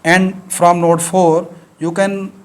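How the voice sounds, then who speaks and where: A man with an Urdu accent delivers a maths lecture in English, his voice a touch higher than most. He explains each step carefully and pauses often with short fillers as he works through the example. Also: flat delivery.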